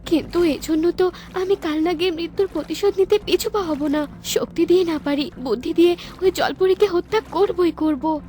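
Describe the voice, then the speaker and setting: Lady doing a cunning snake queen out for revenge, really high voice, dramatic cartoon style. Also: moderate pace, warm tone. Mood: vengeful, plotting. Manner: dramatic, insistent. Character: vengeful, cunning cobra queen. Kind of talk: cartoon storytelling.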